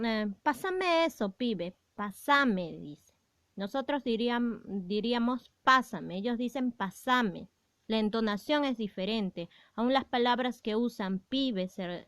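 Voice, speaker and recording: A woman teaching a grammar lesson in a patient, explanatory way. Her voice is fairly high and clear, recorded close and dry with no room sound. She often pauses on fillers and asks the class questions. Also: no echo.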